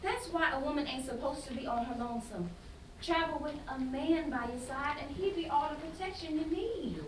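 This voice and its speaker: A timid, prim married woman played by a stage actress speaks in a high voice, nervous and easily flustered. It is a play reading, picked up from afar in an echoey space with clear noise. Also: fairly quick, far from the mic, lightly acted. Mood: wary, prim.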